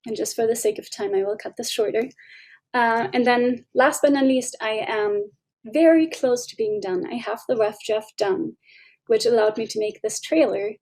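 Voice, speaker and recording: Woman presenter, hurried and informal, warm mellow tone, fairly high voice. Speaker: student conference presenter. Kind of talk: casual presentation. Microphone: video call microphone.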